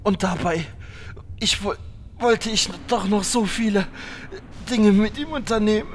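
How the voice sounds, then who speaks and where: A man's voice: high voice, unhurried, slight background noise. A man playing a melodramatic dying sentimental father-to-be in a parody audio drama, lamenting theatrically. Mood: tragic lament.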